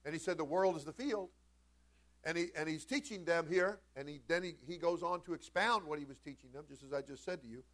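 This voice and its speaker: A man preaching a sermon as a pastor, folksy and informal, moving between conversational storytelling and emphatic, animated delivery. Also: a little high, brisk. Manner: animated, swinging from quiet conversational asides to loud emphatic shouts. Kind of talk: Pentecostal-style sermon with storytelling.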